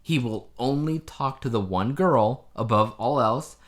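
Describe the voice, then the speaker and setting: A man's voice: mid-range voice, measured pace. A man co-hosting a podcast in casual chat, telling things in a conversational way with emphatic asides. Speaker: podcast co-host. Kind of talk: casual chat reviewing a TV show.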